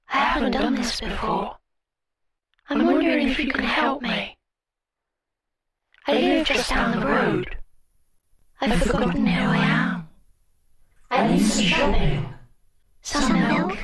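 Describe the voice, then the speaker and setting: A woman voicing a creepy, confused old woman spirit who pleads slowly in eerie whispers. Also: high voice.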